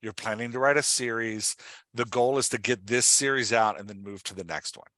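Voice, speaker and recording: A man's voice: lowish voice, brisk, pushed and bright, no echo. A man hosting and coaching in a conversational way, explaining things emphatically and asking leading questions. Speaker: podcast host and writing coach.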